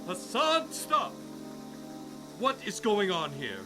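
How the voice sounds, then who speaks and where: A man's voice: high-pitched, very animated, slow, music in the background, heavily acted. A man playing a stern, just king in a radio drama, commanding and formal. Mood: stern, demanding.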